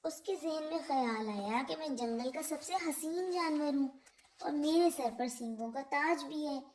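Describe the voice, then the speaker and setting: Woman w high pitched voice reading kids moral stories, careful storybook narrator style. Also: measured pace, darkish tone, a little hiss or hum. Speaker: children's storyteller.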